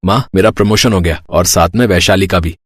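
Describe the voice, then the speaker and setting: Low-voiced man voicing cartoon dialogue. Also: fairly quick, no room sound, clean studio sound. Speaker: voice actor for the husband, father-in-law and doctor.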